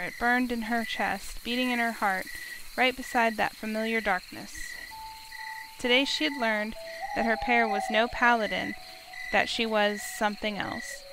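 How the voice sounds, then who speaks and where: A woman's voice: a little high, gravelly, background noise. A woman reading scripted story narration as the narrator of a visual novel.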